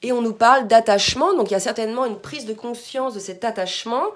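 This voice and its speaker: Hoarse woman reading cards. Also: on the high side, fast. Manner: soft-spoken, addresses viewers with "d'accord?"; murmurs requests to her guides. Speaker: tarot card reader. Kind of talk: spiritual card reading to viewers.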